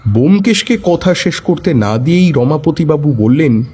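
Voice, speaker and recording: A man's voice: a little high, expressive, normal speed, dark tone, slightly rough. A man narrating a detective story in the first person, with a wry, dryly humorous manner. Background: faint background music.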